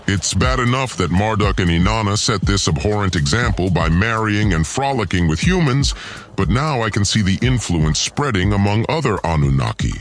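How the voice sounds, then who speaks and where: Low, pompous vengeful god acted by a man over music. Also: expressive, moderate pace, natural voice. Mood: indignant, scornful. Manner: pompous, menacing, formal. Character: vengeful, disdainful male god lord plotting against humans. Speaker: audio drama voice actor. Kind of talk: dramatic first-person villain monologue.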